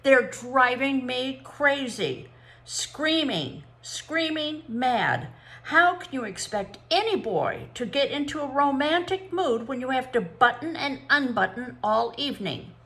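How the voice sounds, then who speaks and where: A woman's voice: a little high, lively intonation, normal speed, hardly any pauses, a bit bright, pitched above the performer's own voice. A woman reading a storybook as a melodramatic young woman, overwrought and complaining about little siblings. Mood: hysterical, pleading.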